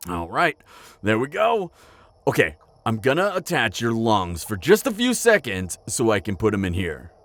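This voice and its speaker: A man playing a chatty, pragmatic necromancer in an audio roleplay, talking casually and a little haltingly straight to the listener. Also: on the low side, huge pitch swings, fairly bright tone, full character voice. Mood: businesslike, eager. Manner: casual, hesitant asides, frequent chuckling. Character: chatty, upbeat, pragmatic male necromancer, former tailor. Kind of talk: conversational roleplay addressed to the listener.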